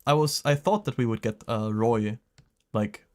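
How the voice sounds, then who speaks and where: A man's voice: medium pitch, a little slow, darkish tone. A young man streaming a video game, chatting casually in a joking, rambling way. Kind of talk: casual chat over gameplay.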